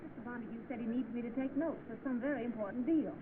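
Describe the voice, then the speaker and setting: An actress playing a polite, self-assured young secretary in an old film, with prim manners. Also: female voice, fairly high pitch, quick pace, lightly acted. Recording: tinny, noisy old film soundtrack.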